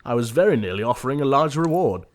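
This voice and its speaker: Man playing indignant, proper gentleman, animated pitch. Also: quick pace, lightly acted. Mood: exasperated. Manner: formal, indignant, emphatic. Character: earnest, easily exasperated young English gentleman, proper and indignant. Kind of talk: audio play acting of period comedy.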